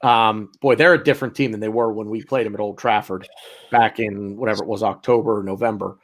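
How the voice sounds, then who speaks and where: A man's voice: on the low side, steady tone, quick pace. A man hosting a football podcast in casual chat, playful and teasing, asking long questions. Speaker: podcast host.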